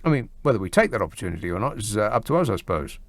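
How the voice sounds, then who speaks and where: A man's voice: fairly low pitch, sing-song, very fast, no echo. A man hosting a late-night radio phone-in, musing wryly and often restarting his thoughts, at a studio microphone. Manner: wry, musing, trails off and restarts.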